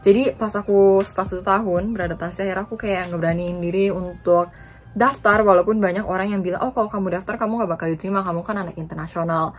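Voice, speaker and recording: A woman's voice: medium pitch, fairly even intonation, fast talker, husky. A young woman from Indonesia talking casually in a reflective vlog, chatty and dropping in English phrases. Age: young adult. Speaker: student vlogger.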